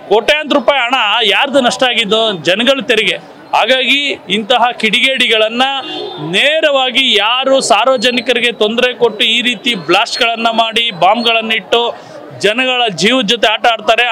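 A man in his thirties being interviewed outdoors at a handheld mic, speaking indignantly and firing off rhetorical questions. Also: very high voice. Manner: indignant, forceful demands with repeated rhetorical questions. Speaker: member of the public interviewed. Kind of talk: street interview. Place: outdoors in front of a restaurant. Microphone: handheld mic held in front of him.

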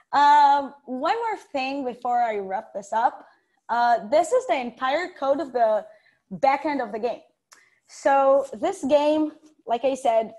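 A woman's voice: fairly high pitch, a little mellow. A young woman giving an informal tech talk over a video call, chatty, giggly and self-deprecating. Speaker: conference speaker, software developer. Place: indoors, room with bookshelves.

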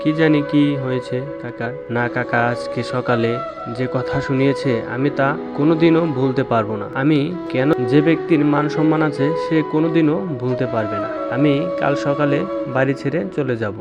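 A man's voice: medium pitch, fairly quick, lo-fi sound, loud music behind the voice, lightly acted. A man playing a wronged, proud and brooding young orphaned nephew in a cartoon story. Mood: hurt, defiant. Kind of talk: cartoon story dialogue and first-person narration.

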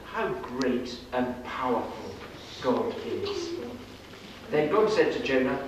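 Echoey, animated male storyteller, slow and deliberate. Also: medium pitch. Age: middle-aged. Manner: dramatic, deliberate, with pauses. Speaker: storyteller at a children's puppet show. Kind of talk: scripted storytelling read aloud to children. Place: children's classroom-like room, indoors. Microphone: far camera. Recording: echoey room, distant camera mic, noisy.

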